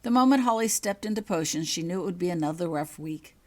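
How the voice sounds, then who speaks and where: A woman's voice: lowish voice, lively intonation, fast talker, a little mellow. A woman reading her own written fiction aloud as audiobook-style narration.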